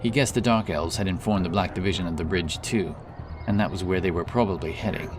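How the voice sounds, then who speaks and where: A man narrating a fantasy story in a measured, solemn, dramatic storytelling manner. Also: sits low, noisy background. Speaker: audiobook narrator.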